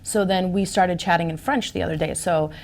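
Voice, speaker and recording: A woman's voice: lowish voice, fairly even intonation, fairly quick, vocal fry, darkish tone. A woman in her forties whose first language is Portuguese, talking as a real estate agent in an interview, upbeat and emphatic. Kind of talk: interview conversation.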